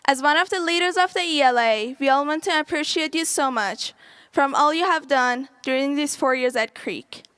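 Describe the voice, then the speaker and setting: A woman's voice: sits high, normal speed, a bit bright, no room sound. A young woman whose first language is Farsi, giving an earnest prepared speech as a graduating student.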